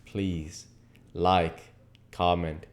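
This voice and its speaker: A man reading aloud as host, in expressive literary narration with measured, dramatic phrasing. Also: sits low. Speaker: reader and host of an audiobook channel.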